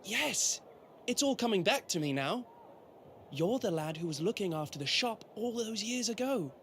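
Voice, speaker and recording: A young prince, friendly and easily excited. His voice sits a little high and leaps about expressively, with courtly, effusive phrasing. It is audio drama acting with some background noise. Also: normal speed. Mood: warm, eager. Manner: genteel, animated, effusive.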